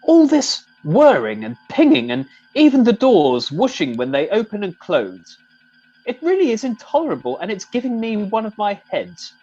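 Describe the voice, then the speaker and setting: A man acts a plaintive, genteel upper-class English officer in a space comedy. The voice is animated and husky, in a slightly dull recording. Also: high voice, normal speed, soft background music, heavily acted. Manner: mild, hesitant, plaintive. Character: languid, fussy upper-class second-in-command.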